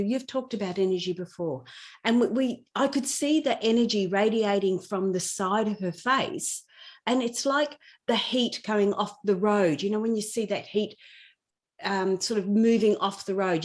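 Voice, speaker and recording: Earnest middle-aged woman explaining conversationally. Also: medium pitch. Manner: earnest, explanatory, repeats phrases for emphasis. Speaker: podcast guest. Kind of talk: conversational personal storytelling and explanation.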